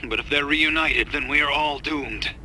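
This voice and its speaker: A man's voice: fairly high pitch, moderate pace, bright, forceful tone, a little hiss or hum. An actor playing a grave, remorseful middle-aged scientist father leaving a pleading video message, in a game cutscene. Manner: earnest, pleading.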